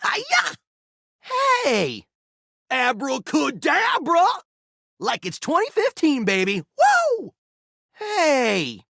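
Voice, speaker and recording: A man's voice: very high-pitched, wildly swooping pitch, extremely slow, creaky, long pauses, bright, forceful tone, dry recording, clean studio sound, full character voice. A man voicing a hyperactive goofball game mascot, campy and theatrical, full of playful silliness. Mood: giddy, showy.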